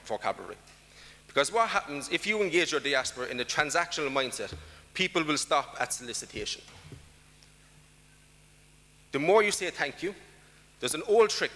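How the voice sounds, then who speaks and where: Irish man, conference speaker, fairly high voice, long hall reverb. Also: bright, forceful tone. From Ireland. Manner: emphatic, rhetorical questions to the audience. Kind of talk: conference talk.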